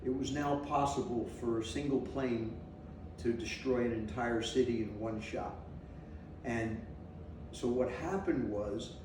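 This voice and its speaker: Man in his 60s, from New Jersey, halting storyteller, faint background noise, roomy echo. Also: medium pitch. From New Jersey, USA. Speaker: interviewee sharing memories. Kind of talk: unscripted oral-history recollection. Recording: echoey room, mic at a distance, faint noise.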